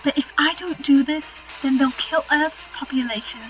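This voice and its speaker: A woman's voice: high voice, normal speed, faint music behind, lightly acted, low-quality recording. A woman playing a clever, resolute alien Time Lord who looks thirty, composed and explanatory, in a fan audio drama. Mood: urgent, resolute.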